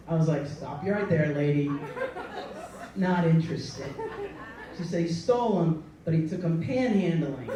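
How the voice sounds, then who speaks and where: A woman's voice: on the low side, warm tone. A woman hosting a live storytelling slam, cracking self-mocking jokes and laughing often. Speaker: host. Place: live stage before an audience. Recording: echoey room, mic from far, audience.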